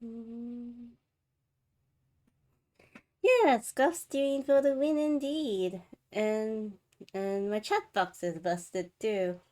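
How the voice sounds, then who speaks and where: A woman's voice: a little high, drawn-out words, long pauses, dark tone. A woman livestreamer chatting casually to viewers as she hosts a game stream. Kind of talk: casual live stream chat. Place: live game stream from an indoor setup. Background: game music.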